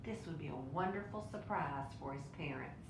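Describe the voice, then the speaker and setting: A woman reading a children's picture book aloud in an expressive way. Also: moderate pace. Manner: expressive, with brief asides to listeners. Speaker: storybook reader. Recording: roomy echo, clear low rumbling noise.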